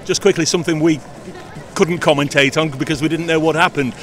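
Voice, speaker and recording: A man, a club media interviewer asking post-match questions by the pitch. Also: steady tone, fairly bright tone, a little hiss or hum. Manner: brisk, conversational questioning. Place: outdoors by a football pitch.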